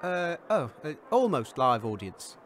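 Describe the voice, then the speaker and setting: An actor playing an exasperated radio presenter in a comedy radio play, with a halting, awkward delivery. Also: male voice, on the high side, big pitch swings, a bit bright, full character voice. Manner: halting, awkward, put-upon.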